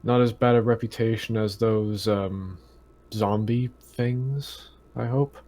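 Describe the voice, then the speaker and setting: Slow, gravelly-voiced male tabletop player. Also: on the low side, fairly even intonation, dark tone. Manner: halting, searching for words. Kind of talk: casual in-character game chat.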